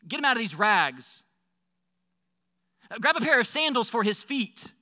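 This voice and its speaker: A man performs a big gray-bearded father in a dramatic monologue. He cries out with fierce love in a high, piercingly bright voice that swings widely in pitch. His delivery is emphatic and full of feeling. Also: animated, rapid-fire, in a large hall, full character voice. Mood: joyful commanding. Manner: emphatic, crying out with emotion.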